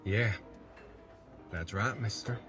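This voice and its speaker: A student actor playing a big, peaceable, war-weary veteran in an amateur western radio play, laconic and restrained. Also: male voice, on the low side, takes it slowly, fairly bright tone, soft background music. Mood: unbothered.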